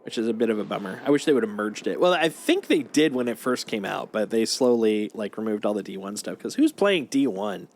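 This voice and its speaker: A man's voice: lowish voice, animated, brisk, few pauses, slight background noise. A man livestreaming a video game, chatting casually as he plays. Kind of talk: casual chat while playing. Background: game music.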